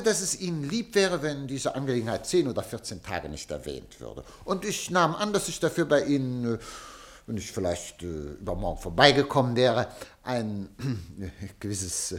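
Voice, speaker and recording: Sly newspaper boss, played by a man, lively swooping delivery, innocent act. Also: mid-range voice, normal speed, lightly acted. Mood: slyly evasive. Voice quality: theatrical, feigns innocence, hesitant when cornered. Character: scheming middle-aged male newspaper boss. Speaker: newspaper director. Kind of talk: radio drama acting.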